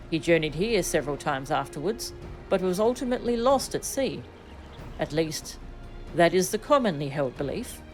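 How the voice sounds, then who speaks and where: A woman voicing a composed scholarly adviser from a video game, formal and measured in manner. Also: lowish voice, darkish tone, natural voice, low-quality recording. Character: composed, scholarly explorer-adviser explaining legends. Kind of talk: video game dialogue read aloud.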